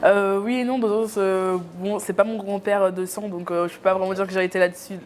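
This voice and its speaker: A woman's voice: mid-range voice, little pitch movement, a little fast, a little hiss or hum. A young woman from Cameroon, a YouTuber guest in a casual interview, talking with many hesitations.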